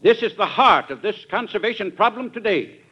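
An actor playing a righteous, combative crusading witness, declaiming in a classic radio drama. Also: male voice, high voice, lively intonation, normal speed, pushed and bright, slightly rough, muffled recording, heavily acted. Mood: righteous, insistent.

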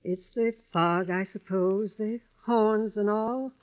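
An actress playing a kindly, wistful elderly widow in an old-time radio drama, gentle and hesitant, with fond endearments. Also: female voice, medium pitch, steady tone, slow pace, natural voice. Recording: dull vintage radio recording.

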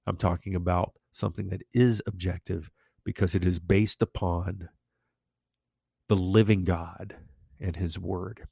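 Male podcast preacher, lowish gravelly voice, congested and a bit nasal, emphatic, dry close sound. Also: level tone, warm tone, no room sound. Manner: slow, emphatic, declarative preaching. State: congested and a little nasal from allergies. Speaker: podcast host.

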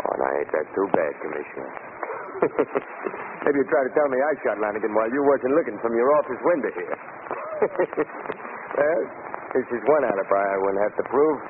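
An actor playing a smug, menacing American gangster boss in a slangy 1940s radio crime drama. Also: male voice, fairly low pitch, big pitch swings, normal speed, pauses often. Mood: mocking. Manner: sneering, slangy, mocking. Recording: muffled, noisy old radio broadcast.

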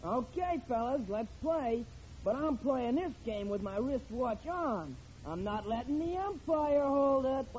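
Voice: high voice, expressive, a little slow, darkish tone. A performer playing a cocky, scornful neighborhood boy ballplayer in a 1940s radio comedy. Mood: brisk. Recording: muffled old radio broadcast with hiss.

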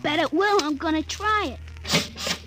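A woman's voice: sits high, slow pace. A woman playing a bratty, demanding young child with a whiny, insistent manner in a 1950s radio drama. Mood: stubborn, whiny. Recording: old radio recording, faint noise.

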